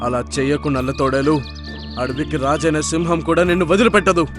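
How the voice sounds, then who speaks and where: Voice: mid-range voice, fairly even intonation, fairly quick, music in the background, natural voice. A man voicing a worried, protective father deer in a cartoon, giving earnest warnings. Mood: firm warning. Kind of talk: cartoon character voice acting.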